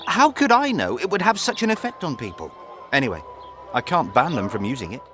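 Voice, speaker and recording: An animated, medium-pitched male voice by an actor for a slippery fantasy game merchant. He sounds ingratiating and defensive, a coward at heart. Some music plays behind him. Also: fairly quick, close to an everyday voice. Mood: pleading. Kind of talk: video game voice acting.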